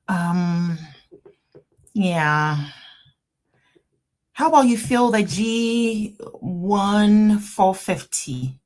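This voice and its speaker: A woman from Ghana with a Ghanaian accent, an attorney hosting a live Q&A stream from her office, greeting viewers warmly and repeating herself as they join. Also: lowish voice. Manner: upbeat, repetitive, greeting viewers by name and place. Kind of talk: casual livestream chat.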